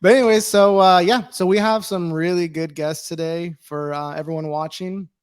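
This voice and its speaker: A man in his forties chats loosely as co-host of a live stream. His voice sits a little high and he laughs easily. The sound is dry and close. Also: fairly even intonation, normal speed, clean tone, no echo. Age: 40s. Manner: laughing, upbeat, rambling. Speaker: livestream co-host. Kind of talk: casual chat.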